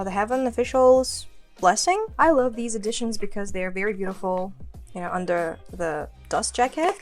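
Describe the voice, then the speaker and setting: A woman who speaks Kazakh as her first language gives a chatty bookshelf tour at home, listing titles with a laugh now and then. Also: medium pitch. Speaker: book vlogger. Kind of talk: casual bookshelf tour narration. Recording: faint music under voice. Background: soft background music.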